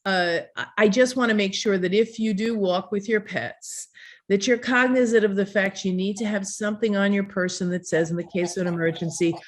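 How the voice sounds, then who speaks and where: A woman's voice: medium pitch, steady tone, a little fast. An older woman speaks on a community video call in a mid-range voice. She talks earnestly and a little ramblingly, with frequent fillers and restarts. The sound comes through a video call mic.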